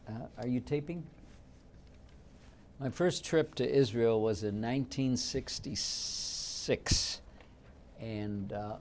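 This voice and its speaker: A man in his seventies from Detroit, whose first language was Yiddish, recalling his past in an unhurried oral history interview with frequent fillers. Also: fairly low pitch, a little mellow, slight background noise. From Detroit, United States. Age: 70s.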